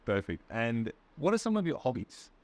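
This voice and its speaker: A man with an Australian accent, an English teacher interviewing a guest in slow, clear English for learners, patient and encouraging. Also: medium pitch, sing-song. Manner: patient, encouraging, checks and repeats answers.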